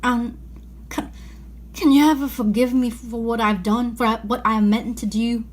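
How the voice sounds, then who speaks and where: An anxious, gentle faun, played by a woman reading a storybook, pitched high. He pleads and confesses dramatically, full of remorse. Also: steady tone, unhurried. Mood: apologetic.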